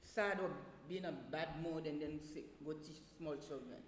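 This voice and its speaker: A woman telling casual anecdotes in an animated way, laughing often and acting out what people said. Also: deep voice, normal speed. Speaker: guest, teacher of small children. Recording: roomy echoing space with faint noise.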